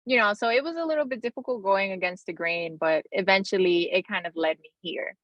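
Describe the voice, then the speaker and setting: A woman's voice: medium pitch, fairly quick, no room sound, lo-fi sound. A woman telling personal stories casually as an interview guest, peppering her talk with "like" and "you know". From Bronx, New York City. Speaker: interview guest, company founder.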